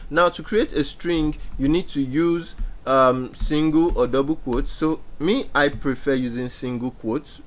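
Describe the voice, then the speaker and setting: A young man teaching a beginner programming lesson over a screen share, explaining step by step with frequent fillers and repeated phrases. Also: medium pitch. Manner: explanatory, repeats key points with many fillers. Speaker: programming instructor. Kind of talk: screen-share coding tutorial.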